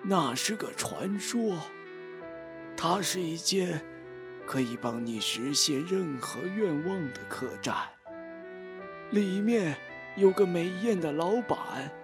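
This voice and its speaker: A man playing a gentle, wistful old grandfather in a Chinese audio drama, speaking in a reflective way. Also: high voice, animated, creaky, exaggerated voice. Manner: slow, unhurried, reflective. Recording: background music behind the voice.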